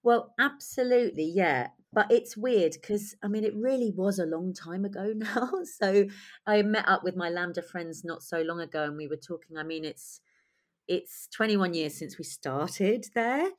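A woman in her forties with a husky voice and a cold, talking in a lively, chatty way as an interview guest. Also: medium pitch, moderate pace. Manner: chatty, lively, laughs often. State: has a cold. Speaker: guest writer and director. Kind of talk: conversational interview.

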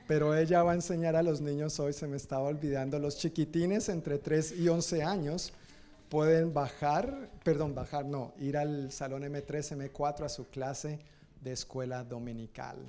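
A man's voice: on the high side, fairly even intonation, normal speed, warm tone. A man speaking as a pastor giving informal, jokey church announcements to a congregation, often correcting himself.

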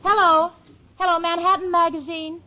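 A woman's voice: very high-pitched, little pitch movement, normal speed, a little hiss or hum. An actress playing a sensible, eager young city woman with a wry streak in a 1940s radio comedy. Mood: flustered, polite. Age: young adult. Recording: dull vintage radio recording.

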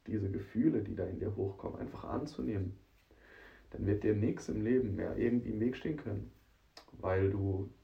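Hoarse, congested man with a cold. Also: lowish voice, dark tone, recorded from a distance, highs cut off. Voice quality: rough, scratchy voice. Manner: chatty, addresses listener directly, frequent "ne?". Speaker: podcast host. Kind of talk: casual solo podcast monologue.

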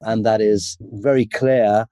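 A man's voice: lowish voice, fairly even intonation, slow, dark tone, no echo. A man born in the UK, a guest in an interview, speaking in an earnest, opinionated way. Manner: earnest, opinionated, opens with Arabic invocations. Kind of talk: interview answers.

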